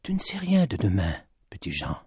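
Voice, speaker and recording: A man voicing a mysterious mountain woman in a low, hushed, grave voice while reading his storybook aloud. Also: huge pitch swings, normal speed, husky, no room sound, clean studio sound, heavily acted.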